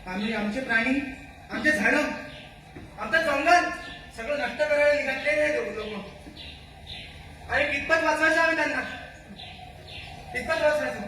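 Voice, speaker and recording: A man's voice: extremely high pitch, normal speed, long pauses, sharp tone. A man playing an angry, distrustful forest-tribe leader in an amateur stage play, declaiming accusations and pointed questions. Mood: aggrieved pleading. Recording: echoey hall, far mic, faint noise.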